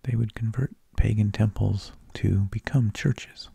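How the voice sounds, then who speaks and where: A man narrating in a soft, breathy ASMR whisper, with slow, deliberate phrasing, close to the microphone. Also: fairly low pitch, steady tone, dry recording. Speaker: ASMR host.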